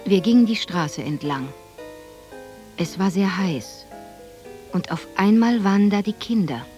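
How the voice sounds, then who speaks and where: An actress playing an adult narrator who recalls her village childhood in a reflective, measured, husky voice in a radio drama. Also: mid-range voice, lively intonation, mellow, faint music behind, lightly acted. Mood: measured, narrating.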